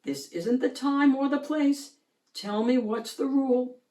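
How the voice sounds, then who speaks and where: A woman voicing a patient, gently firm female sea-creature teacher in a storybook, measured, instructive and encouraging. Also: high voice, roomy sound, distant mic, pitched above the performer's own voice.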